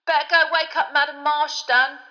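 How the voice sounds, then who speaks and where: A woman voicing a gruff, terse elderly male wizard who drives a bus. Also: high-pitched, steady tone, normal speed, pushed and bright, long reverb tail, highs cut off, voice pushed up.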